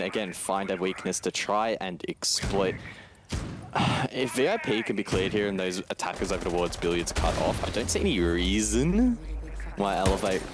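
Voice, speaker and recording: A man giving live, analytical commentary as an esports caster. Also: lowish voice. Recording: clear game gunfire noise behind voice. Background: video game gunfire and clicks.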